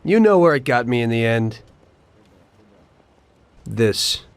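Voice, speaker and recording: Weary, disillusioned American mafia gangster. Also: male voice, mid-range voice, sing-song, takes it slowly, clean tone. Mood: weary, grave. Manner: reflective, plain-spoken, cynical. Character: weary, disillusioned male mafia gangster recounting his past. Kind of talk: dramatic game cutscene narration.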